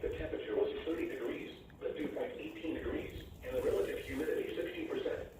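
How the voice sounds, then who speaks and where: A synthetic American male voice reading a formal weather bulletin in an impersonal, measured way, heard through a radio. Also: fairly high pitch, wildly swooping pitch, a little mellow. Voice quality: synthetic text-to-speech voice. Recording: tinny weather radio, echoey, distant, faint noise.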